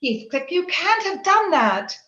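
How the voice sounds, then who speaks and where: A woman playing an anxious, proud married gentlewoman, earnest and pleading, in an old-time radio drama over a video call. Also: extremely high pitch, big pitch swings, measured pace, exaggerated voice. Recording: dull, echoey video-call audio.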